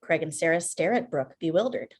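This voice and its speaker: A woman's voice: sits low, lively intonation, brisk, husky. A woman reading scripted screenplay stage directions as narrator on a video call. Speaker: filmmaker, stage-direction reader.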